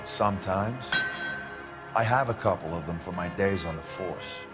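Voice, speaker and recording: A man's voice: sits low, a little slow, loud music behind the voice. A man playing a brash, slippery head of security in a video game, talking in a cocky, slangy way. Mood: cocky, evasive. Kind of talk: video game cutscene acting.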